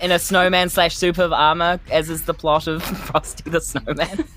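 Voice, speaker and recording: A man's voice: fairly high pitch, level tone, normal speed, noisy background. A man making droll quips in casual chat as a tabletop game player. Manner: droll, quipping. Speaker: player in tabletop RPG podcast. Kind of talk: casual chat with joking asides.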